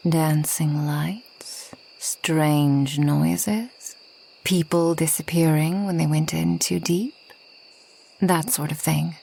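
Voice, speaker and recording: A woman playing a reclusive, ageless forest witch in a soft ASMR roleplay, gentle and wry, often slipping toward a whisper. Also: sits low, fairly even intonation, takes it slowly, gravelly, slightly rough, heavily acted, rough recording. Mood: soft, wistful. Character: reclusive, centuries-old kind but proud forest witch.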